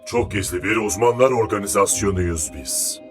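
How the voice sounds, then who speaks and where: Man as a low-voiced solemn secret agent. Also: moderate pace, close to an everyday voice. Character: solemn male secret agent, self-important alien watcher. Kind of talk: dubbed cartoon acting. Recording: clear music behind the voice.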